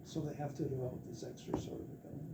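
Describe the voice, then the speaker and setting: A man's voice: mid-range voice, steady tone. Distant man explaining in an echoey room. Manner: measured, explaining step by step. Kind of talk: explanatory seminar talk. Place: lecture room. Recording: echoey, far from the mic, noisy.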